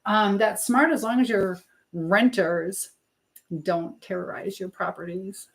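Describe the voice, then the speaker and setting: A woman's voice: mid-range voice, takes it slowly, poor audio. A woman hosting a casual live stream while she cooks, chatting informally to viewers and reading out their comments. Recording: clear background noise and clicks.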